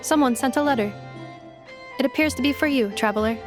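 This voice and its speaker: Deadpan, formal female robot, acted by a woman. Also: high-pitched, over music, lightly acted. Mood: flat, composed. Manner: measured, formal, deadpan, clipped summaries. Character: calm female robot guardian, literal, dutiful, formal. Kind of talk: video game character acting.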